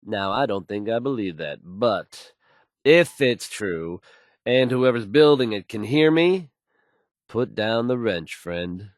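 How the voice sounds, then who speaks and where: A slick, self-assured radio DJ in a game world, his voice on the low side and recorded dry. He delivers the news with folksy, suave showmanship. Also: animated, slow pace, no echo. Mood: confident, folksy. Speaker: radio DJ character. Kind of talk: smooth radio news patter.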